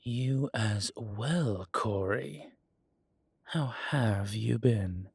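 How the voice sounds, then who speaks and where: A weary old vampire lord, acted by a man for an audio drama. The voice is low and husky and moves slowly, with dry sardonic wit. It sounds close and dry, with old-fashioned formal phrasing. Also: darkish tone, no room sound, full character voice. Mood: wry, cordial. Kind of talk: dramatic audio roleplay acting.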